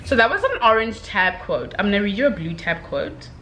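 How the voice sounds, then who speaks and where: A woman giving a casual book review in an expressive, slangy way, talking to viewers like girlfriends with emphatic check-ins. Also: mid-range voice, fairly quick, a little hiss or hum.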